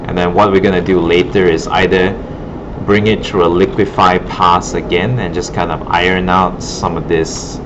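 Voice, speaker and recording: A man's voice: deep voice, flat delivery, normal speed. A man teaching informally as an instructor, thinking aloud in a hesitant way, recorded in a classroom. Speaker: digital art instructor. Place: indoor classroom. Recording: muffled, noisy classroom recording with air-conditioning hum.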